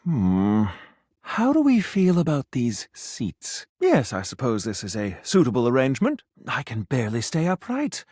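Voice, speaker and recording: Video game casting: a haughty, flirtatious gourmand critic, florid and theatrical, very animated intonation, close dry sound. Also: male voice, mid-range voice, normal speed, husky, no echo. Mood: haughty, appraising. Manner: pompous, florid, theatrical, peppered with French phrases. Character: pompous, flirtatious gourmet food critic, personification of food. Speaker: food critic. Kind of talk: scripted video game character dialogue.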